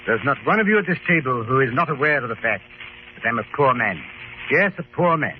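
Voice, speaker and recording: An actor playing an earnest, impoverished lord of a manor, speaking emphatically and theatrically in a radio drama. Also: male voice, medium pitch, sing-song, brisk, close to an everyday voice. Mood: insistent. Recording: narrow, hissy old radio broadcast.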